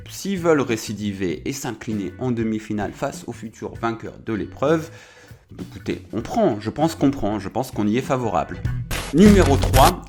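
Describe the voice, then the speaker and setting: A man hosting a casual football video, talking in a chatty way with many fillers and self-corrections. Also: medium pitch.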